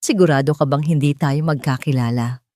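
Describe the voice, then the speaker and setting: A woman reads the part of a tall, wealthy man in his late thirties in a spoken audio story. He speaks formally and with measured calm, softening as he goes. The recording is dry and close. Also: no room sound, lightly acted. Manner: deep, formal, then gentler. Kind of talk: audiobook character voice.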